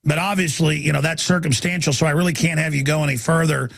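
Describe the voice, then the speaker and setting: A man hosts a call-in radio show in a gravelly, husky voice that sits a little high. He talks emphatically in long, rambling runs and often doubles back on his words. The sound is dry and close to the mic. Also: fairly even intonation, quick pace, fairly bright tone, dry recording. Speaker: radio talk show host.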